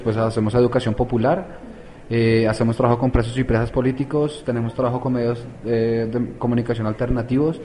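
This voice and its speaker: A man's voice: medium pitch, warm tone, slight background noise, poor audio. A man from Colombia, answering interview questions as a youth activist, hesitant with many fillers and restarts.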